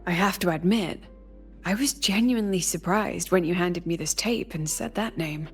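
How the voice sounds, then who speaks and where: A woman voicing a measured, serious woman in command in a video game, with a husky voice. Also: on the low side, soft background music.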